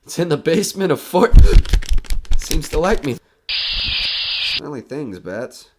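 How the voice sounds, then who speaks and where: A man's voice: fairly high pitch, big pitch swings, slow, heavy background noise. A man playing a nervous, skeptical broadcaster alone in a haunted house in an audio horror drama, rambling and joking anxiously. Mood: unravelling, giddy.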